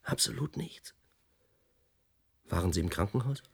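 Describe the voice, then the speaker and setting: A man acting a haunted physicist in a German radio play, speaking in an introspective, halting and at times declamatory manner. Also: fairly low pitch, lively intonation, creaky, husky, full character voice. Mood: anguished, confused. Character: troubled male physicist, haunted and brooding, lecturing on particles.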